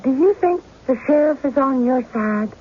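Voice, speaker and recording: A woman's voice: sits high, lively intonation, moderate pace. A woman playing an anxious, devoted young wife in a radio drama. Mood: earnest, concerned. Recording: dull, noisy old radio broadcast.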